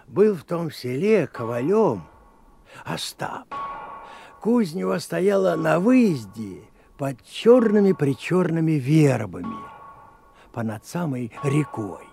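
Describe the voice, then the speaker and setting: An actor playing an old village grandfather who tells folk tales in a slow, sing-song way, in a radio play. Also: fairly high pitch, very animated, creaky, dark tone, faint music behind, exaggerated voice. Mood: storytelling. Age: older. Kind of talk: 1980s radio play storytelling.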